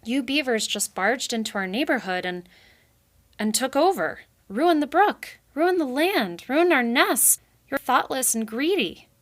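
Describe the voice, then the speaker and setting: A woman's voice: high voice, lively intonation, measured pace, voice pushed up. A hot-headed young mouse brother from a storybook, acted out by a woman reader. He is snappish and indignant, defiant on top of his nerves. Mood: indignant accusation.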